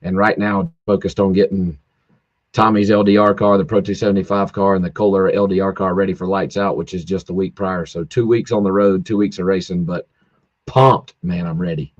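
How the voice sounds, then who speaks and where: A hoarse man talks shop about racing in a loose, rambling way, his sentences restarting as he goes. His voice sits low and the call audio is dull and lo-fi, with a dry sound and no room around it. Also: steady tone, fairly quick, no echo. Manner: rambling, with many fillers and restarts. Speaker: drag racer guest. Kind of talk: casual podcast chat. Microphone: video call, home internet.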